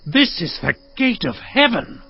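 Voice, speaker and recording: Man acting husky, awestruck young biblical son. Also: sits high, sing-song, a little slow, exaggerated voice. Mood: awed, afraid. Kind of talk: dramatized audio Bible acting. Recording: dull sound, faint music.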